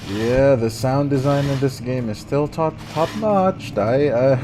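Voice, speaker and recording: A man's voice: mid-range voice, animated, slow, noisy background. A man giving casual let's-play commentary, joking and rambling in a self-deprecating way. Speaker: gaming streamer.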